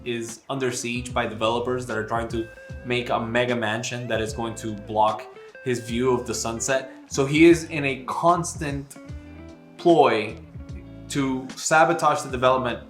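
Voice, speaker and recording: Male book vlog co-host, mid-pitched, emphatic and wry, casual chat in a roomy space with soft guitar music. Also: steady tone, moderate pace. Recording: roomy echo, faint music behind.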